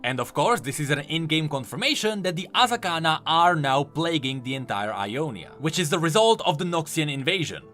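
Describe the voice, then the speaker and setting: A man's voice: a little high, big pitch swings, brisk, few pauses, a bit bright, faint music behind. A man giving enthusiastic, explanatory commentary on video game lore, leaning hard on key words for emphasis.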